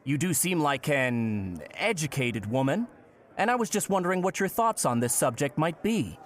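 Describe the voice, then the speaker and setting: An actor playing a courteous, curious, old-fashioned gentleman traveler in a period audio drama, with polite and playfully florid speech. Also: fairly high pitch, sing-song, normal speed. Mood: courteous, sheepish.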